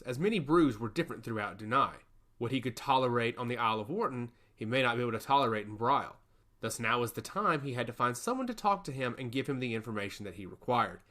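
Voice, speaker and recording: A male storyteller reads fantasy fiction at a medium pitch. His intonation is very lively, rising and falling widely through the scripted narration.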